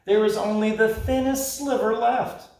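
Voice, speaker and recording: A frightened chorus of little storybook night creatures , as a man puts them on, whining and pleading in a high, wavering voice. The sound bounces around an echoey room. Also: fairly even intonation, unhurried, darkish tone. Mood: pouting. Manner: whimpering, pleading. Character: timid, whiny chorus of jungle night creatures.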